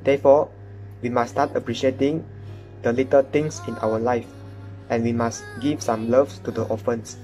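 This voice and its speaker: A man's voice: medium pitch, fairly even intonation, moderate pace, warm tone, soft background music. A young man, a student, reading an earnest reflection in simple words, close to the camera. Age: young adult. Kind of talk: read-out reflection. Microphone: front camera, close.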